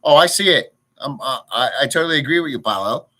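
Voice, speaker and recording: A man's voice: a little high, lively intonation, fast talker, bright, forceful tone. A man hosting a casual livestream, ranting bluntly and crudely in a rambling way and answering chat. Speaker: livestream host. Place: home in a rural province.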